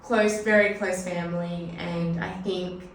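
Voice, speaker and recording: A woman's voice: lowish voice, steady tone, measured pace. An Australian woman in her thirties, a finance broker chatting reflectively as a podcast guest, with lots of "you know" fillers. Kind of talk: casual conversational interview. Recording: echoey room, mic at a distance, faint noise.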